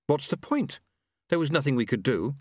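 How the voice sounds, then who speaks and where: Man doing a crisp, wry young woman. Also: on the high side, expressive, moderate pace, no echo, studio quality, voice pushed up. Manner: crisp, matter-of-fact, wry. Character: brisk practical young woman, dry-witted.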